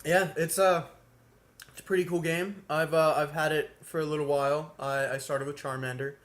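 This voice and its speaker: A man's voice: fairly high pitch, little pitch movement, normal speed, fairly bright tone. A young American man co-hosting a casual comedic chat, rambling and playfully teasing. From United States. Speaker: co-host.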